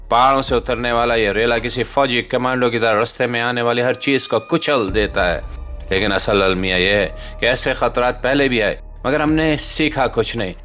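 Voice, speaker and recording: A Pakistani man delivers a scripted voice-over in a medium-pitched voice. His delivery is solemn and rhetorical, stressing key phrases like a dramatic documentary narrator. Music plays behind him. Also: a bit bright. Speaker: host and narrator of a commentary channel. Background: background music.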